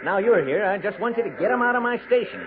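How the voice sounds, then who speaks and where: A man's voice: high voice, animated, fast talker. A man playing a fussy, indignant frontier station master in a 1950s radio western, complaining in an agitated way. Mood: irritated. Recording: noisy, tinny 1950s radio broadcast.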